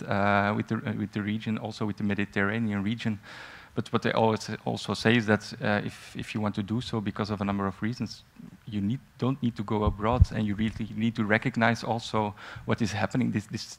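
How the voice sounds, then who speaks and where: Male panelist, low flat voice, hesitant, fillers and restarts. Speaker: conference panelist. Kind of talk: panel discussion answer.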